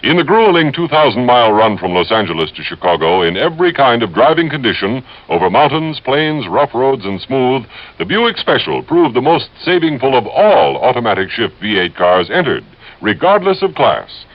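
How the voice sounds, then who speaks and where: Male announcer, radio commercial, polished, emphatic, animated intonation, mid-pitched, dull old recording. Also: moderate pace. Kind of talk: scripted old-time radio advertisement.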